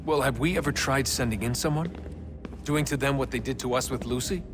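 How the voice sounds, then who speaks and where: A man's voice: medium pitch, a little fast, music in the background, lightly acted. An actor playing an earnest, doubtful young man in a video game, with a hesitant, searching way of talking. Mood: questioning. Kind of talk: video game cutscene acting.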